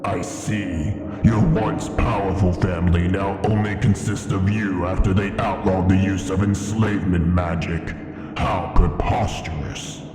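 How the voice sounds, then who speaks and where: Ancient proud dragon played by a man, low-pitched and imperious, unhurried formal delivery, fantasy ASMR roleplay. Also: steady tone. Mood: probing, grave, then indignant, resolved. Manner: slow, imperious, formal and deliberate. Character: ancient proud male dragon in his cavern lair. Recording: echoing cavern reverb with music behind. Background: music.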